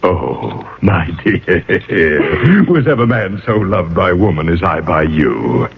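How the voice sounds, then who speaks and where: An actor playing a proud, lovelorn aristocratic ghost in a theatrical radio drama style, in grandiloquent phrases. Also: male voice, low voice, big pitch swings, takes it slowly, vocal fry, a little hiss or hum, full character voice. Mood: remorseful, tender. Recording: muffled old radio broadcast recording.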